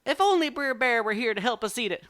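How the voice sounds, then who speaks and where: A man's voice: very high voice, expressive, fast talker, pushed and bright, no room sound, pitched above the performer's own voice, full character voice. A man voicing a wily, exuberant rabbit from a folktale, with a folksy, exclamatory way of talking. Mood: excited, scheming.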